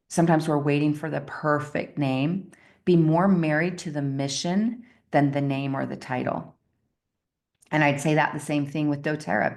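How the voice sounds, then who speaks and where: Woman success coach with a very deep, gravelly voice. Talks in a chatty, story-driven way and keeps asking her listeners "right?". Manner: chatty storytelling, often checks in with the audience with "right?". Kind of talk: motivational coaching talk told as a personal story.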